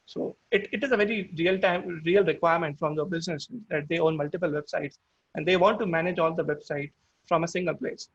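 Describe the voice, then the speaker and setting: High-voiced Indian man with a husky tone. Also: muffled recording. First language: Hindi. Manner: explanatory, with frequent restarts and fillers. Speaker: product presenter. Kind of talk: webinar product demo.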